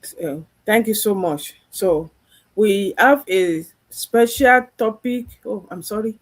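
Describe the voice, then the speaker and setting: A husky woman from Nigeria hosts a live stream. She speaks earnestly and circles back over her points. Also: medium pitch, takes it slowly. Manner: earnest, repeats herself. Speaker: host.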